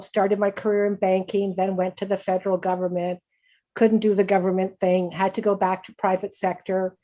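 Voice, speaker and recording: A woman's voice: mid-range voice, dark tone. A woman in her sixties with a Canadian accent, giving a personal conversational presentation as a patient speaker, candid and informal. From Canada, raised in Hamilton, Ontario.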